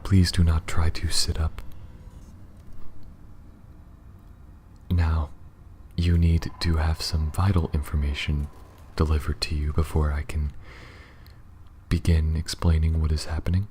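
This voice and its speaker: A man plays a peculiar, gentle alien spaceship pilot with an extremely low, mellow voice. He talks softly and carefully, almost whispering at times, with halting, formal reassurance, as in an ASMR roleplay. A light layer of background noise sits behind him. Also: little pitch movement. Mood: anxious, soothing. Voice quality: soft, very low, breathy, often near whisper. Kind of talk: ASMR audio roleplay, scripted monologue addressed to listener.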